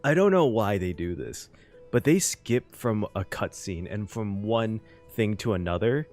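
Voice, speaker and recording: A man's voice: on the low side, animated, a little slow, darkish tone, faint music behind. A man giving casual, effusive game reaction commentary as host, emotive and repeating phrases for emphasis.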